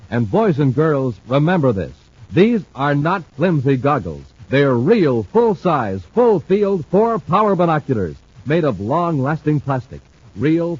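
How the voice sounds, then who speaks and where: Booming 1950s radio announcer, muffled. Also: male voice, darkish tone, husky. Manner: booming, salesy, emphatic. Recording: muffled old radio transcription with faint hiss.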